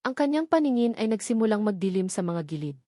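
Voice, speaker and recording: A woman's voice: mid-range voice, very animated, fast, warm tone, no echo. Woman narrating drama, very dry sound. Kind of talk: scripted dramatic story narration.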